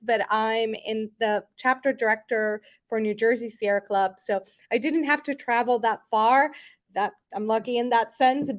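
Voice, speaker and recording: An upbeat, energetic woman from Puerto Rico, her voice sitting a little high. She speaks to a virtual rally from a city office and restarts her words now and then. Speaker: Sierra Club chapter director.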